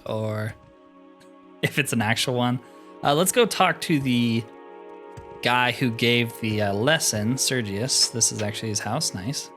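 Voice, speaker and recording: A man's voice: mid-range voice, fairly bright tone. A man giving casual commentary as he plays a role-playing game. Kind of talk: casual game commentary, reading quest dialogue aloud.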